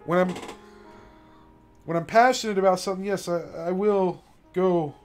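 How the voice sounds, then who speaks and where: Male streamer, slightly higher-pitched voice, passionate and emphatic, faint music underneath. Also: moderate pace, a little mellow. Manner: passionate, emphatic, repeats "okay?" to drive points home. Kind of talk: late-night solo stream monologue. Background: faint classical music.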